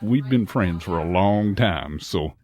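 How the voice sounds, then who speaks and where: An actor plays a kindly doctor and loyal friend in an audio soap. His voice is deep, and he speaks gently and with regret, as if breaking hard news. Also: animated, slow pace, no room sound, clean studio sound, full character voice. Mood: regretful. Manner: gentle, apologetic. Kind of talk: soap-opera audio drama acting.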